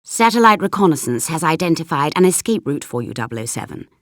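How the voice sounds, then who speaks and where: A woman's voice: sits low, sing-song, quick pace, no echo, clean studio sound. A woman playing a stern, formal spy agency chief in a video game briefing. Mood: formal, grave.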